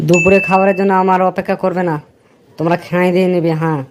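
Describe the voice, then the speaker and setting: A woman's voice: lowish voice, flat delivery, racing, noisy background, lightly acted. A woman voicing a young husband in a dubbed cartoon, sounding impatient and pleading over music. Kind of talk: cartoon dubbing. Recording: clear music behind.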